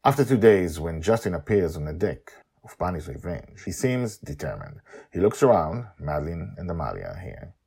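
A man hosting a story podcast, narrating in an animated, theatrical and emphatic way. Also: sits low, normal speed, gravelly, slightly rough.

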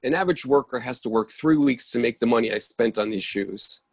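A man's voice: medium pitch, vocal fry. A man reading the part of an impatient character who loses patience easily and needs his coffee, in a staged play reading. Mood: bickering. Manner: exasperated, quick to lose patience. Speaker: reader in a readers theatre play.

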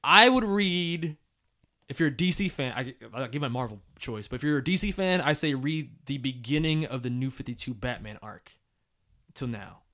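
A man's voice: medium pitch, animated, normal speed, bright, forceful tone. A man co-hosting a casual podcast, reading listener emails aloud and joking, often laughing. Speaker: podcast co-host.

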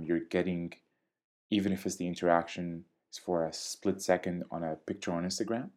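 A young man with a low, deep voice talks in a calm, measured way. He is articulate and diplomatic, and he explains his views at length in a podcast interview. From Syria. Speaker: DJ and guest.

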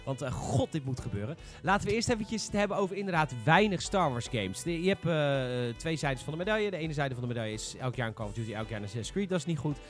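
A man's voice: a little high, expressive, fast, hardly any pauses, soft background music. A man hosting a casual gaming podcast, speaking in a frank, opinionated way. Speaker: podcast host.

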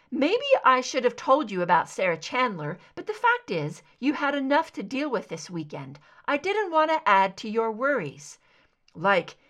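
A woman's voice: a little high, a bit bright. A woman reading an angry, protective male agent in an audiobook, in a terse, confrontational, emotional way. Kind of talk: dramatic audiobook character dialogue.

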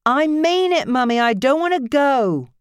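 A woman's voice: high voice, lively intonation, measured pace, dry recording, studio quality, pitched above the performer's own voice. A woman voicing a fiery, stubborn teenage girl in an audiobook novel, petulant and defiant. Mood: defiant, pleading.

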